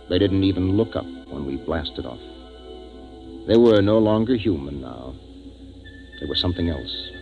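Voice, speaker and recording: An actor playing a grave, decisive male spaceship captain who narrates his tale in a 1950s radio drama. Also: low voice. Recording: dull 1950s radio recording, music behind.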